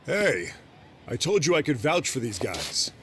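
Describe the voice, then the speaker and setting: An actor playing a brash, sardonic and streetwise police detective in a video game, casual and wry. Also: male voice, medium pitch, big pitch swings, unhurried, heavy background noise. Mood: placating.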